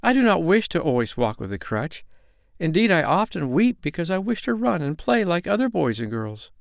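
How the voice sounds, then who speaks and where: A man voicing a timid, gentle little girl in a storybook, speaking politely and earnestly. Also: sits high, very animated, moderate pace, clear, resonant tone, dry recording, clean studio sound, voice pushed up. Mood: tearful.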